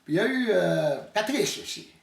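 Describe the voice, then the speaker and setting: Animated Quebec French storyteller. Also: male voice, high voice, moderate pace, gravelly. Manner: folksy, hesitant, mixes up sayings. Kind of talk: comic storytelling monologue. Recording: roomy echo, distant mic.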